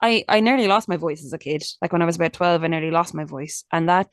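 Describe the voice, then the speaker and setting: Young Irish woman, lowish voice, candid and chatty interview guest, dry recording. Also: fast, dry recording. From Ireland. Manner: chatty and candid, many restarts and "like" fillers. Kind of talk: casual conversational interview.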